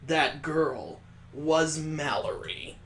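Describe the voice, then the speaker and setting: A man's voice: fairly high pitch, steady tone, extremely slow, a bit bright. A man on a stream reading a visual novel aloud, breaking off for crude, joking asides and mock sound effects.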